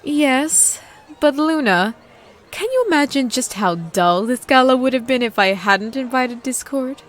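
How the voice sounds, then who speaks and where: A woman's voice: high voice, lively intonation, a little slow, faint music behind. A woman voicing a regal, lovelorn pony princess and older sister in a scripted audio drama, wistful and theatrical, with sighs. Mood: dreamy, defensive.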